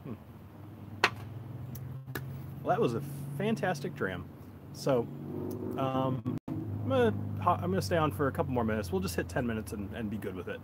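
A laid-back man in his thirties hosts a casual livestream from a backyard deck. He rambles along in a mid-pitched voice, picked up by a phone with clear outdoor noise around him. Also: big pitch swings, normal speed, pauses often. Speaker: whiskey reviewer, livestream host. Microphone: cell phone on a tripod. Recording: noisy outdoor phone recording.

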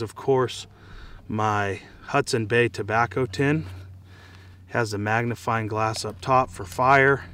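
A man's voice: mid-range voice, a bit bright, a little hiss or hum. An American man casually demonstrating and reviewing gear to camera, speaking outdoors. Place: outdoors in dry scrubland.

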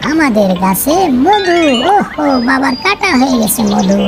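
Lady voicing a cheerful village girl in a cartoon, high voice, loud music under it. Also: big pitch swings, unhurried. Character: cheerful village girl helping her father. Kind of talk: first-person cartoon narration. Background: background music.

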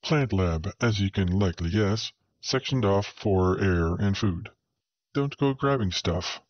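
A man voicing a gruff, blunt and bossy male alien pilot in a sci-fi story, in a curt, grumbling way. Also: low voice, lively intonation, measured pace, dry recording, lo-fi sound, pitched below the performer's own voice. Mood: curt warning. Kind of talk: sci-fi audiobook character.

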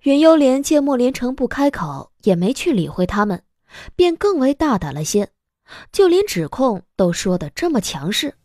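Female audiobook narrator, high and animated, expressive romance storytelling, close dry sound. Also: moderate pace, dry recording.

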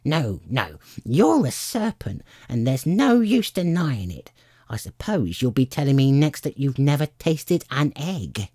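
Shrill pigeon, high-pitched, agitated, scolding, husky edges, indignant complainer. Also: sing-song, a little slow, mellow, dry recording, studio quality, full character voice. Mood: scornful. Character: agitated, scolding female pigeon, frantic and contemptuous.

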